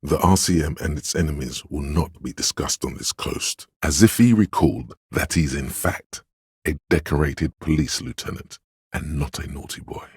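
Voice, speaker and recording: An extremely deep, imperious male voice of authority for a video game. He speaks in stern, pompous pronouncements with declamatory weight. The tone is slightly husky and rough, recorded very dry. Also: moderate pace, dry recording, full character voice. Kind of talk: scripted video game narration and voice acting.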